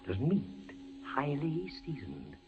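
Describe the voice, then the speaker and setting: A tormented captive captain, wildly swooping. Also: male voice, fairly high pitch, wildly swooping pitch, over music, heavily acted. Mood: grim, weary. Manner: theatrical, halting, swinging from murmurs to cries. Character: captive male army captain, terrified, feverish, tormented. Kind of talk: 1940s radio acting. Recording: muffled, noisy 1940s radio recording.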